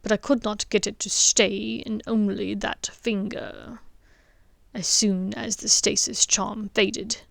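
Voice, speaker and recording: A woman reading the part of a stern, precise male wizard, speaking slowly in a low, hushed way. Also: vocal fry, no room sound.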